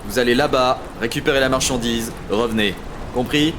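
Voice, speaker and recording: Over clear background noise, an actor plays a confident male crew boss in a science-fiction audio drama. He gives orders casually in a mid-pitched voice. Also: a little fast, natural voice. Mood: breezy, assured. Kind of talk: French sci-fi audio drama acting.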